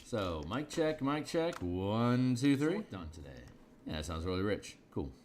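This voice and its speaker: A middle-aged man with a deep, tired voice, rambling casually with fillers while he streams his illustration work. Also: very animated, normal speed, darkish tone, slight background noise. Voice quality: deep, low voice. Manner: rambling, with frequent fillers and restarts. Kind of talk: casual live-stream talk while working.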